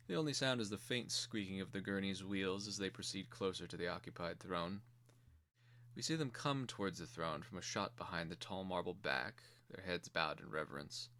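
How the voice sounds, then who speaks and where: Male narrator, low register, measured and theatrical, reading dark fantasy stage directions. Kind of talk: dramatic screenplay reading.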